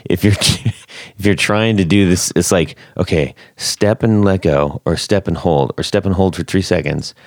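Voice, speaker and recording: Low-voiced man hosting a casual chat. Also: moderate pace, no room sound, clean studio sound. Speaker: podcast host, interviewer.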